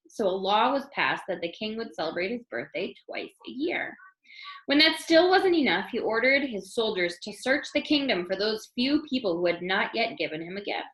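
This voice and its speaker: A woman's voice: mid-range voice, a bit bright. Echoey woman reading a children's story aloud. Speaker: storybook reader.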